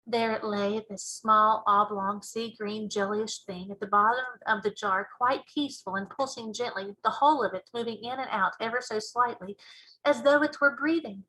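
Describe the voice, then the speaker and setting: Bright, higher-pitched woman reading a storybook. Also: normal speed, rough recording. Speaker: teacher reading aloud. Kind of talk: expressive children's storybook narration.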